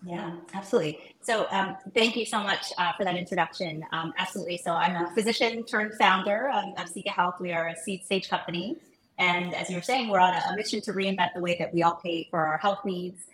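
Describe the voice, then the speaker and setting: A woman's voice: fairly low pitch, fairly quick, a bit bright, a little hiss or hum. A woman from Ghana in her thirties, a physician turned founder, chatting as a guest with many ums and restarts. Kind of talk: casual interview conversation. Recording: roomy echo.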